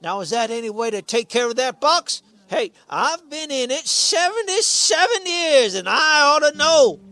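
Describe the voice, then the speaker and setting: A man's voice: very high-pitched, sing-song, moderate pace, pushed and bright, exaggerated voice. A man playing a cranky, stubborn old villager in a radio play, querulous and folksy. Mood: scornful. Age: seventies or older.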